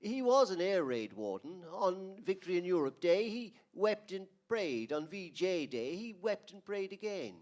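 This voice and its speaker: A senior angel telling a mortal's story in an old radio drama , played by a man. He is patient and explanatory, with a somewhat high voice. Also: sing-song, moderate pace, few pauses, a little mellow. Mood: telling, grave.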